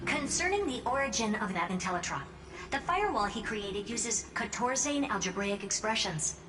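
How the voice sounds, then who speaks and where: A woman's voice: fairly high pitch, animated, noisy background, lightly acted. Woman acting a cool, analytical researcher, distant-sounding. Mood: coolly analytical. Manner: measured, matter-of-fact, technical. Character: coolly analytical female genius researcher. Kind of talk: video game voice acting. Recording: distant from mic, music underneath. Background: music.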